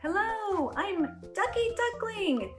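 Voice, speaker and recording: A woman voicing an excitable, playful little duckling from a picture book, in simple sing-song rhymes for young children. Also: very high voice, takes it slowly, faint music behind, pitched above the performer's own voice, exaggerated voice. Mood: cheerful, playful. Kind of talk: storybook read-aloud for young children.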